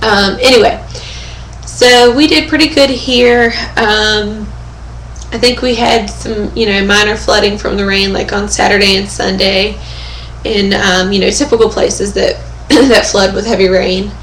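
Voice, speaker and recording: A young woman with a hoarse, fading voice, chatting casually in a webcam update from home and often pausing with fillers and throat clearing. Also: mid-range voice, steady tone, a bit bright, slight background noise. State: hoarse, losing her voice. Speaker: vlogger. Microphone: webcam microphone. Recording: echoey room on a webcam.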